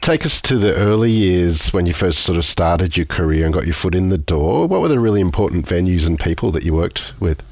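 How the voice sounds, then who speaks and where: A man's voice: low-pitched, expressive, brisk, hardly any pauses, darkish tone, dry recording. A man interviewing a guest as a podcast host, asking open, conversational questions.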